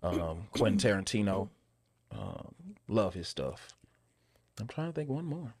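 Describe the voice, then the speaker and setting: Low-pitched man, close dry sound. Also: very animated, unhurried, a little mellow, slightly rough, no echo. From Memphis, southern United States. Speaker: guest, actor and filmmaker. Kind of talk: casual podcast interview answers.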